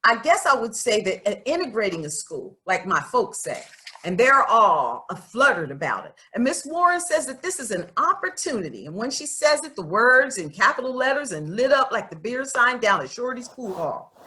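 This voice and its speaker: A woman's voice: on the high side, very animated, moderate pace, sharp tone, slight background noise. A woman voicing an outspoken, stubborn young schoolgirl in a storybook, reading her letters aloud in a candid, folksy, emphatic way. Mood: stubborn, reluctant. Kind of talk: expressive storybook read-aloud in first person.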